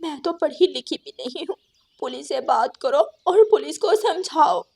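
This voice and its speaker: Mother crow for cartoon woman acting: distressed, pleading, high voice, unhurried pace. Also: animated, darkish tone. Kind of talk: cartoon story dialogue.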